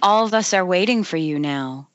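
A woman's voice: fairly low pitch, expressive, moderate pace, natural voice. Woman reading as solemn ghostly mother, dull call audio. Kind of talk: amateur table read of a horror script.

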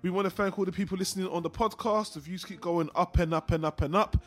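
A man hosts a casual film podcast with a blokey, informal delivery. His voice sits a little higher than most men's. Music plays faintly behind him as he talks. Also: fast talker. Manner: blokey, informal, swearing banter. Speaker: podcast host.

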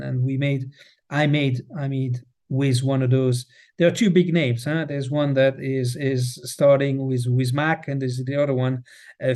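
A middle-aged Swiss man whose first language is French talks English in an interview, his voice mellow and relaxed at an ordinary pitch. He often repeats a word while he searches for the next one. His French accent colours every line. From Switzerland. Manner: restarts and repeats words, passionate emphasis. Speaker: guest, cheese marketing head. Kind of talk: podcast interview. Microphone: remote, on the road.

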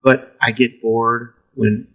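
A man's voice: mid-range voice, level tone, slow pace, fairly bright tone, muffled recording. A pastor, a man, telling candid stories about his preaching in a self-deprecating way, laughing easily. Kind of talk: candid conversational storytelling.

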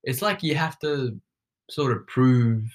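A man's voice: mid-range voice, expressive, slow pace. A young man in his twenties co-hosting a casual podcast chat, rambling and self-deprecating, often trailing off mid-thought. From Nepal. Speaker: podcast co-host.

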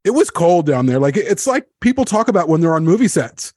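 A man's voice: fairly high pitch, sing-song, fast talker, a little mellow, no echo, clean studio sound. A man hosting a casual roundtable, telling anecdotes in an informal, emphatic way. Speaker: podcast host.

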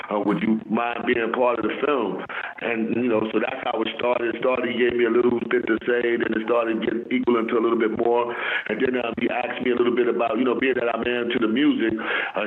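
Brief New man, DJ guest, rambling, heard over a thin phone line. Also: mid-range voice. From New York City. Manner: rambling, repeats words while searching for them. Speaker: radio DJ and filmmaker, guest. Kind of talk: casual phone interview. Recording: tinny phone line that cuts out.

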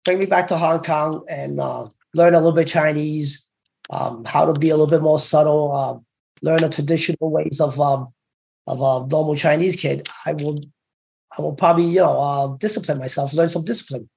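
Gravelly-voiced man telling his life story. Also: a little high, fairly even intonation, darkish tone, rough recording. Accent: Cantonese-tinged New York English. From Brooklyn, New York. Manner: halting, many fillers and restarts. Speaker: interview guest, former gang member. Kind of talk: candid interview storytelling.

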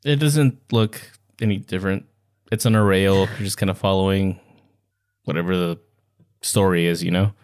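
A man chatting casually as a podcast co-host, in hesitant, halting phrases. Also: deep voice, fairly even intonation, smooth, dry recording, studio quality. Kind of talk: casual chat.

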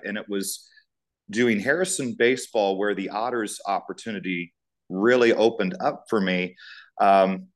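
A middle-aged American man with a fairly low voice talks as an interview guest. He tells personal stories in a steady, earnest way, filling in the details conversationally. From United States, Indiana. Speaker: guest, sports public address announcer.

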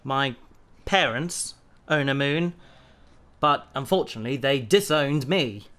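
A man's voice: medium pitch, unhurried, fairly bright tone, close to an everyday voice. A man playing an earnest, awkward young recruit in a science fiction audio drama, hesitant and modest. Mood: earnest, sheepish.

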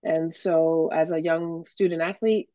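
A woman's voice: low voice, level tone, a little slow, dark tone. A woman professor from Miami explains her field fluently as an interview guest, sprinkling in fillers as she goes. Her audio is slightly dull and muffled, with a very dry, close sound and no room echo. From Miami, Florida. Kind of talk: interview answers.

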